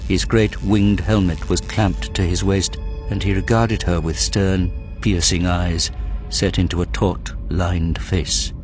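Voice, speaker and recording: A man narrating a dramatic audio drama in a measured, grave storytelling manner. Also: deep voice, level tone, soft background music.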